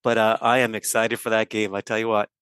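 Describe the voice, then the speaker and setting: A man from Ireland, hosting a casual podcast chat in a relaxed, chatty way. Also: lowish voice, fast talker, clear, resonant tone, no room sound. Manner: relaxed, chatty, with fillers like "you know". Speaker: host.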